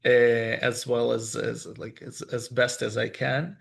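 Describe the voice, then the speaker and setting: A man in his thirties from Crimea, a native Russian speaker, talking as a rabbi guest on a podcast in halting, searching English. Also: medium pitch, level tone, vocal fry, a bit bright. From Crimea, Ukraine. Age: 30s. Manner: halting, repeats words while searching for English phrasing. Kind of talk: casual interview conversation.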